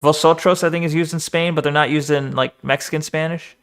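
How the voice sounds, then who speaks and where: Brief: animated male streamer, a bit high-pitched, bantering with chat. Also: fairly quick, clean tone. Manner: animated, swears, talks back to the screen. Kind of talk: casual live-stream chat and video reaction.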